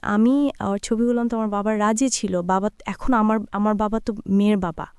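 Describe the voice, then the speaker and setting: A woman's voice: medium pitch, steady tone, brisk, darkish tone, smooth, no echo, studio quality. A young woman with a Bangladeshi accent telling her own life story conversationally, relaying remembered dialogue as she goes. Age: young adult.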